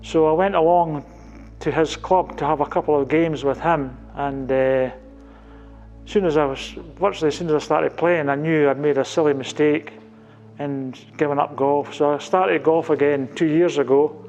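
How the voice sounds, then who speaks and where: An earnest Scottish man in his sixties with a gravelly edge and a voice that sits a little high for a man. He answers interview questions reflectively and sometimes circles back over his words, with light music running underneath. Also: fairly even intonation, moderate pace, darkish tone, dull audio. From Scotland. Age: 60s. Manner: earnest, reflective, some repetition. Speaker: team captain.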